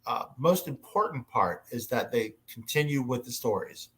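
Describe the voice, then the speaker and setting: A man's voice: mid-range voice, animated, moderate pace, a bit bright. Effusive, rambling man chatting on a fan panel. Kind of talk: casual fan panel chat. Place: indoors, online panel call. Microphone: headset on a video call.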